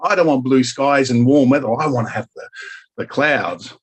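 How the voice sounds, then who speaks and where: Husky Australian man telling stories. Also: mid-range voice, normal speed. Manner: rambling storyteller, many restarts. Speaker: guest. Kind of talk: casual conversation. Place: lives in Germany.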